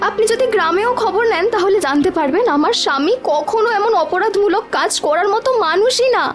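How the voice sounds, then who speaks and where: A desperate village wife in a cartoon played by a woman pleads in an extremely high voice. She is deferential yet insistent, over a faint bed of music. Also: lively intonation, brisk. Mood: earnest pleading. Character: desperate, pleading village wife defending her jailed husband. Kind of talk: cartoon voice acting.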